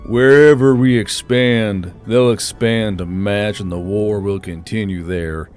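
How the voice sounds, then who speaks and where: A man's voice: lowish voice, steady tone, a little slow, darkish tone, soft background music, close to an everyday voice. A man voicing a terse, weary and irritable male war leader in a fantasy audiobook. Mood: weary resolve. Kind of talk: audiobook character acting.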